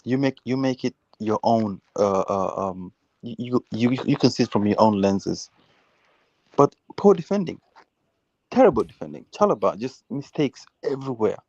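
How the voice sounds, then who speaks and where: A man's voice: mid-range voice, lively intonation, moderate pace, a little mellow, no room sound, highs cut off. A man from Uganda hosting a heated casual football debate, insistently repeating words and restarting his sentences.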